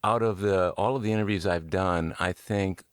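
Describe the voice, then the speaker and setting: A man's voice: deep voice, moderate pace, clean tone, dry recording, studio quality. A man hosting a conversational interview, reflective and drawing on his own experience as he asks questions. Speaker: interviewer and podcast host.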